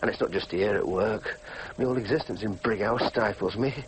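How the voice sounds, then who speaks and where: Frustrated young Yorkshire dreamer, high swooping voice. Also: male voice, big pitch swings, brisk, a bit bright, rough recording. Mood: brooding, wistful. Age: young adult. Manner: argumentative, brooding. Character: frustrated young working-class dreamer, sullen and self-doubting son. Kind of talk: naturalistic drama acting with monologue. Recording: faint background noise.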